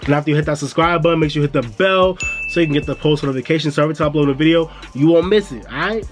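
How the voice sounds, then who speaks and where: A man's voice: fairly high pitch, racing, over music. Stuffy-sounding man chatting with viewers. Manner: laid-back and chatty, addresses viewers as "y'all". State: stuffy, coming down with a cold. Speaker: music and entertainment YouTuber. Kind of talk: casual review vlog.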